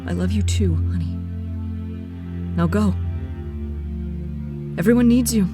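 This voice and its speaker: A woman acting a gentle, reassuring late wife and mother in an audio drama, speaking tenderly. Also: mid-range voice, slow pace, over loud music, natural voice. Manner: tender, soothing.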